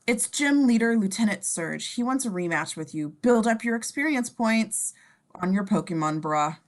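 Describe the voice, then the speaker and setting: A woman's voice: medium pitch, expressive, normal speed. A woman reading scene descriptions in a playful, slangy way, mixing scripted narration with casual joking asides.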